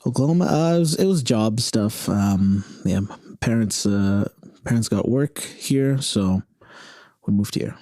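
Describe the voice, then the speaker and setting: A man raised in southern Africa and Virginia talks casually as an interview guest, halting and correcting himself as he thinks aloud. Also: fairly low pitch, lively intonation, mellow, no room sound, clean studio sound. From southern Africa (South Africa, Zambia) and Virginia, US. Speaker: interview guest, singer-songwriter.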